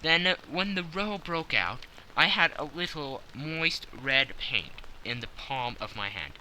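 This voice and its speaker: A man voicing a confident, analytical gentleman detective who explains things precisely and with self-satisfaction in an audiobook. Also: on the high side, lively intonation, unhurried, slight background noise, full character voice, rough recording. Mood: self-satisfied, explaining. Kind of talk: audiobook character acting.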